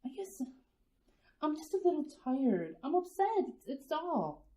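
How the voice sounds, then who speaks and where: Jumpy suburban mom for radio play woman acting, high-pitched, swooping delivery, fretful and hesitant. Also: big pitch swings, measured pace, dark tone. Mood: nervous, fretful. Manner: hesitant, repeating words, fretful. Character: anxious suburban housewife and mother, jumpy, hiding worry. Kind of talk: old-fashioned radio drama acting.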